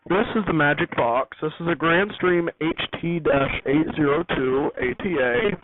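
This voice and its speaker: A man's voice: on the high side, lively intonation, moderate pace. A man giving an unscripted technical walkthrough as a hobbyist host, rambling and correcting himself as he explains. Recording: noisy, tinny phone-like audio.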